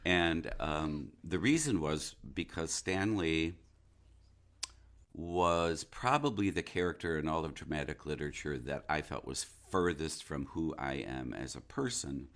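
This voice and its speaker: A man talking reflectively as an interview guest, an actor who pauses and searches thoughtfully for his words. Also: extremely low pitch, fairly bright tone. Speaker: interview guest, stage and radio-play actor.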